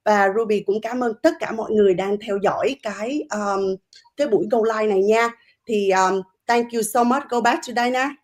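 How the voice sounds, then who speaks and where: A woman's voice: mid-range voice, fast. A woman, slightly nervous, introducing herself in broken English on a video call. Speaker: nurse and first-time guest.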